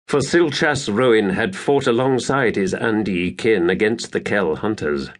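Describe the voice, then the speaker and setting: Slow, measured male audiobook narrator. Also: lowish voice. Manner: measured, theatrical. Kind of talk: dramatic scripted fantasy narration.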